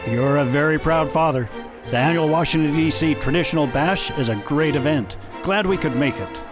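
A man's voice: on the high side, normal speed, over music, lightly acted. A man playing a jovial, loyal space patrolman and friend in a science fiction radio drama. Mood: cheerful.

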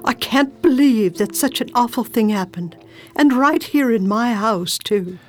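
A woman playing a grumpy widowed sister with a hidden soft side in a radio drama. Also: fairly high pitch, expressive, a little slow, soft background music. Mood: appalled.

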